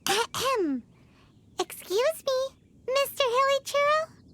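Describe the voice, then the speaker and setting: Tiny cheeky game fairy: extremely high, squeaky, slow and swooping, loud noise behind. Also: female voice, expressive, exaggerated voice. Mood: chatty, wry. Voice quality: squeaky, childlike. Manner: blunt, teasing, exclamatory. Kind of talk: anime game voice acting.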